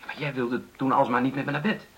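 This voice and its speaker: An actor playing a defensive, evasive middle-aged husband in a Dutch radio play, stammering and protesting. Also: male voice, mid-range voice, steady tone, fast, fairly bright tone, dull audio, natural voice.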